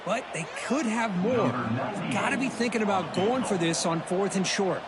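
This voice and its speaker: A man's voice: high voice, moderate pace, a loud crowd, very noisy, natural voice. A man playing a folksy American color commentator in a football video game, emphatic and conversational as he analyzes the action. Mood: impressed. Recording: loud music behind.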